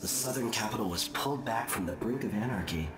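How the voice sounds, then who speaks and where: An actor playing an enigmatic, detached storyteller, narrating a video game epilogue in a measured, solemn manner. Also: male voice, fairly low pitch, close to an everyday voice. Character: enigmatic, detached male storyteller of an empire's fate. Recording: roomy echo, music behind.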